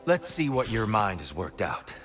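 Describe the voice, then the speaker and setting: Music plays behind a wise mentor in a video game. His voice is low and calm. He speaks in measured, philosophical phrases, gently probing and reassuring. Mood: earnest, philosophical.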